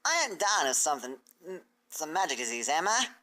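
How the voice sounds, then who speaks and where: A woman's voice: deep voice, sing-song, brisk, bright, forceful tone, exaggerated voice. A woman voicing a wary, blunt young country filly in a story reading, with folksy, questioning speech. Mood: uneasy. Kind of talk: fan fiction character reading.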